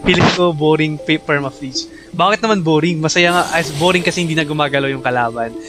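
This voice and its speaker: Chatty Filipino man streaming, slightly high-pitched. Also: fast talker. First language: Tagalog. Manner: chatty, switches between Tagalog and English. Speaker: game streamer. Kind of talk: casual livestream gameplay commentary. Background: game music.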